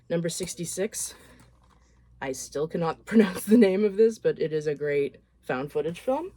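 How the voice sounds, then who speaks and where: A woman with a voice roughened by a cold, chatting frankly and loosely as she runs through a list of films. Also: sits low, mellow. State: hoarse from a cold. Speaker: horror film vlogger. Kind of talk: casual movie recap.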